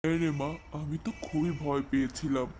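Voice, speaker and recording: A man's voice: a little high, steady tone, a little fast, crowd noise, close to an everyday voice. A man voicing a humble, worried father in a fairy tale cartoon, with a simple, deferential manner. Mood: relieved.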